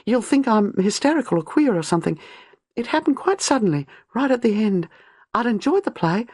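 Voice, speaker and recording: A distraught young woman, as a female narrator puts her on, pleading in high, breathless bursts. Her pitch leaps and falls as her panic rises. The recording is close and dry, with no room sound. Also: big pitch swings, a little fast, a little mellow, breathy edge, no echo. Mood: terrified.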